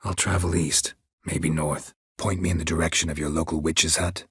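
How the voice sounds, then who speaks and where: A man voicing a gruff, stoic, world-weary monster hunter in a video game, speaking tersely in a husky rasp with dry, deadpan understatement. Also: a bass voice, moderate pace, dry recording, full character voice. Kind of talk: video game character dialogue.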